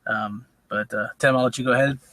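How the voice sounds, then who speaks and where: A man's voice: mid-range voice, steady tone, fairly quick, a bit bright, no room sound. A man in his thirties, co-hosting a casual gaming podcast, talking with many fillers and restarts. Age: 30s. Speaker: podcast co-host. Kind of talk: casual chat.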